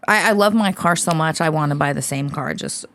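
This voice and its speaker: A woman's voice: sits low, a little fast, fairly bright tone, no echo. A woman co-hosting a podcast, chatting casually and bluntly, swearing freely as she tells stories. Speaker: podcast co-host. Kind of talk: casual chat.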